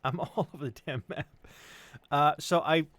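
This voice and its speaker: A man's voice: a little high, moderate pace, dry recording. A man with a neutral American accent telling personal stories in casual chat, joking and rambling with some swearing. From United States, Chicago suburbs and Tennessee. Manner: animated, joking, profane, digressive.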